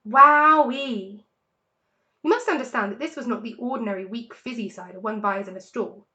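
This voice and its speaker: A woman narrating a children's story in an expressive, dramatic storytelling manner. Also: mid-range voice, quick pace, highs cut off. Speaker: story reader.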